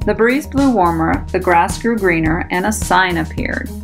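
A woman narrates a children's storybook in a slightly low voice with a noticeable creak. She is expressive and pronounces words carefully for young listeners. Music plays underneath. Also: normal speed. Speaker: storybook reader.

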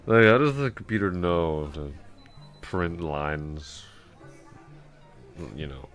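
A man playing a drunk, rambling, awkwardly friendly office coworker in an intimate ASMR roleplay, halting and repeating himself as he slurs along. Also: sits low, lively intonation, fairly bright tone, soft background music, full character voice. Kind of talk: intimate ASMR roleplay, one-sided conversation.

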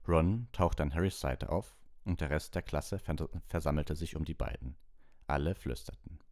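A man with a very deep voice narrates a novel. His reading is measured and expressive, and the recording is dry, free of room sound. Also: no echo. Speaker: audiobook narrator. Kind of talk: scripted literary narration.

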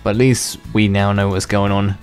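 Rambling young man with a low voice. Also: level tone, moderate pace, darkish tone. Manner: rambling, self-interrupting, chuckles to himself. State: unwell, slightly worn voice. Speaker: gaming channel host. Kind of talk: casual gameplay commentary. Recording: faint background music under voice.

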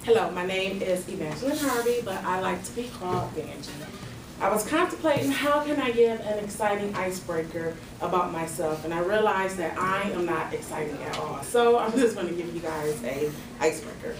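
A woman's voice: fairly low pitch, a little fast, a crowd in the background. Woman speaking from a podium, roomy. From Detroit, Michigan. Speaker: club speaker giving an icebreaker speech. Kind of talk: prepared speech at a podium. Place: meeting room, indoors. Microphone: far camera. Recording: roomy and distant with noise.

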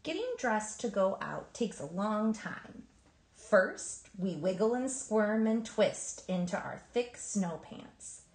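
A woman reading a children's picture book aloud in an expressive, emphatic storytelling manner. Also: medium pitch, slow pace, reverberant, slight background noise. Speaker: storybook reader.

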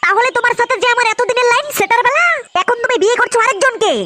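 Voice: very high voice, rapid-fire, heavy background noise. An actor voicing a brash, hot-headed young village lad in a comedy cartoon, argumentative, with a processed cartoon voice. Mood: indignant. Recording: loud music behind voice.

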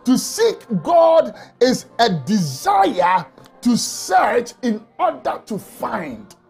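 A man preaching an emotional sermon from a small office on a lapel mic, often shouting and crying out. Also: very high voice, huge pitch swings, extremely slow, creaky, slightly rough, faint music behind. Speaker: pastor.

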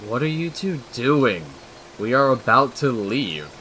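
A man's voice: medium pitch, very animated, a little slow, bright, forceful tone, slight background noise, low-quality recording. A man voicing a serious, grumpy male snake in a comic dub, with a dry, dramatic, stern manner. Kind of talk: comic dub character acting.

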